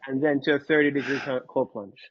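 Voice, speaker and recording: A man, telling his personal story in an interview, candid and halting, often repeating words. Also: mid-range voice, steady tone, dry recording, muffled recording. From Finland. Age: 30s. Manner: candid, casually profane. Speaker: podcast guest.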